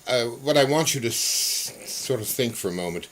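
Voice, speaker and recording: A man's voice: medium pitch, expressive, normal speed, a bit bright. A mature man conducting a conversational interview, effusive and wordy, with circling phrases. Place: photographer's home studio office. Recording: noisy old interview tape.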